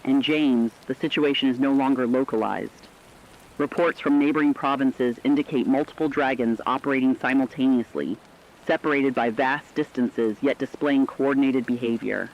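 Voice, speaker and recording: A man playing a somber radio correspondent, reading a scripted disaster report in a serious, deliberate, ominous way. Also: medium pitch, steady tone, dull audio, close to an everyday voice. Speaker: news correspondent.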